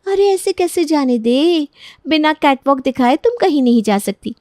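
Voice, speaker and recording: Mocking young college senior, played by a woman, high-pitched, bossy and taunting, dry sound, storybook drama. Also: expressive, moderate pace, a little mellow, dry recording. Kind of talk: Hindi moral story character acting.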